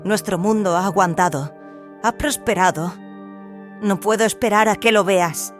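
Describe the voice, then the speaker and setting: A woman playing a serene, fervent religious zealot in an audio drama, speaking calmly and solemnly. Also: medium pitch, a little slow, soft background music, close to an everyday voice. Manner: calm, devout, solemn. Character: serene, fervent cloned female zealot from an isolated world. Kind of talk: dramatized audio fiction dialogue in Spanish.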